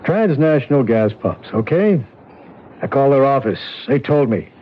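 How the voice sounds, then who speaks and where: An actor playing a skeptical police lieutenant who questions bluntly in a 1970s radio drama. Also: male voice, medium pitch, animated, moderate pace, close to an everyday voice. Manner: blunt, matter-of-fact questioning. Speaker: police detective. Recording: tinny old radio broadcast.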